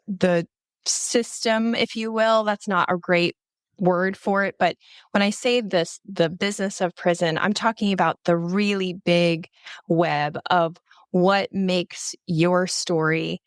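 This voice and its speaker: A woman's voice: fairly low pitch, clear, resonant tone, no room sound. A woman hosting a podcast, interviewing her guest in a casual, conversational style.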